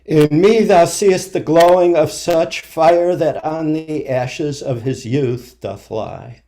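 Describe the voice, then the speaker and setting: A man reciting classic verse for a congregation in a solemn, measured manner. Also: on the high side, mellow. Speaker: poetry reader at a congregation service.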